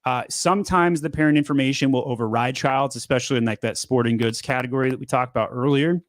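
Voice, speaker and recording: A man in his thirties hosting a casual live Q&A from a home studio, upbeat and quick, often repeating words as he talks. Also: medium pitch, no echo. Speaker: host. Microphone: studio mic on boom arm.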